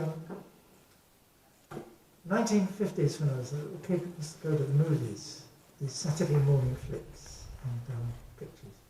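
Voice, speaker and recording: An older man from England with a soft voice, chatting to a live audience between songs in an easygoing, rambling way, with plenty of ums. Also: on the high side, a little hiss or hum. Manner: rambling, reminiscing, frequent ums. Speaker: singer-songwriter. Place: live venue. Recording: echoey live room from far off.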